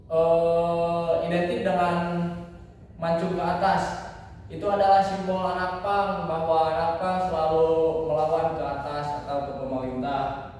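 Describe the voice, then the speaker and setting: A man's voice: fairly high pitch, darkish tone. A young man from Indonesia giving a class presentation, hesitant with many fillers and restarts. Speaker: student presenter. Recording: roomy classroom echo, distant mic, faint noise.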